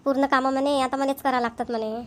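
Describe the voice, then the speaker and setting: A woman's voice: high-pitched, level tone, quick pace, fairly bright tone. A woman with a Vidarbha Marathi accent voicing a chatty, concerned middle-aged village woman in an amateur cartoon.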